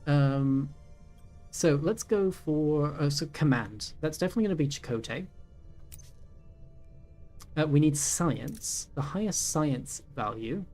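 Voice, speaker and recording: A man's voice: on the high side, expressive, measured pace, long pauses, darkish tone. A man in his thirties giving casual let's-play commentary, thinking aloud with many ums and self-corrections. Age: 30s. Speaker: gaming YouTuber. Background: faint game music.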